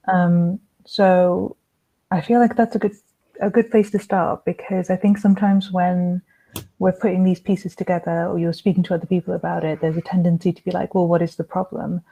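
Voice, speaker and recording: Woman panel host, low flat mellow voice, relaxed moderating, video call. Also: lo-fi sound. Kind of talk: hosting a live-stream panel.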